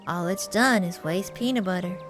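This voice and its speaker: A woman voicing a mild, earnest male pastor in a visual novel, gentle, wordy and prim, with music behind. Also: fairly low pitch, moderate pace, close to an everyday voice. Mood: worried, earnest. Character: mild, earnest male small-town pastor, fretful and devout.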